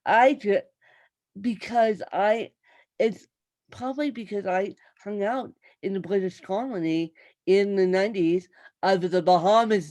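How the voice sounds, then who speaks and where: A woman hosts an interview over a video call, her voice low for a woman and her pace very slow. She chats loosely and turns to address viewers as "you guys." The sound is dry and close. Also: a little mellow, no echo. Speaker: talk show host. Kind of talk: conversational interview.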